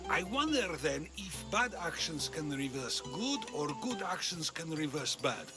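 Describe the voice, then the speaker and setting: An actor playing a musing philosopher, speaking in an inquisitive, rhetorical way in a video game. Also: male voice, fairly high pitch, very animated. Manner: inquisitive, rhetorical, deliberate phrasing. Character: probing, musing Greek philosopher who debates in questions. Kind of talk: video game character acting. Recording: game dialogue over music.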